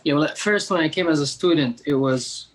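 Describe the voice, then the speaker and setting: A man's voice: fairly high pitch, a little fast. Syrian man answering in an interview. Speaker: interview guest, musician working with NGOs.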